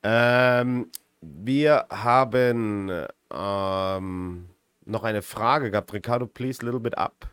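A man hosting a casual sports chat, speaking informally and a little haltingly. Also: fairly low pitch, a bit bright, clean tone, no room sound, clean studio sound. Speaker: host of a sports talk show. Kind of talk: casual interview chat with live viewers.

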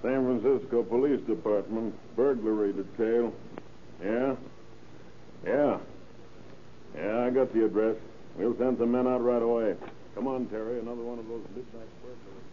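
An American actor playing a brisk, businesslike police detective in an old radio crime drama. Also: male voice, medium pitch, fairly even intonation, close to an everyday voice. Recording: muffled, noisy old radio recording.

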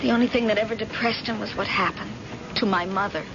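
An actress playing an anxious, devoted grown daughter in an old-time radio crime thriller, speaking earnestly and insistently. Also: female voice, medium pitch, fast, natural voice. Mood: worried. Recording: dull, very noisy old radio recording.

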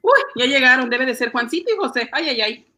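A woman plays an affectionate, fussy Peruvian mother in a radio drama. Her voice is high. She chatters, coaxes and exclaims as she bustles about the house. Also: expressive, a little fast, sharp tone. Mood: bustling. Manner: exclaiming, repetitive, coaxing, sighing. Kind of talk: amateur Spanish-language radio drama acting.

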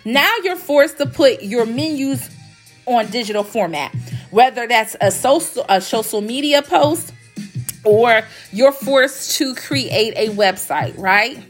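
A woman's voice: on the high side, expressive, takes it slowly, soft background music. A woman hosting a casual podcast, sounding congested as she recovers from illness, chatty and emphatic, repeating phrases for stress. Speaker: podcast host, graphic design company owner. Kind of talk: casual solo podcast talk.

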